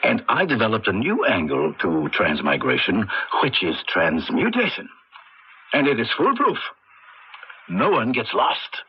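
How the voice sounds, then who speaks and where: A man's voice: fairly low pitch, huge pitch swings, a little slow, breathy edge, full character voice. An actor playing a big, smug, bullying male inventor with a condescending manner in a 1980s radio drama. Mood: defensive. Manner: pompous, condescending. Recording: muffled, noisy old radio broadcast recording.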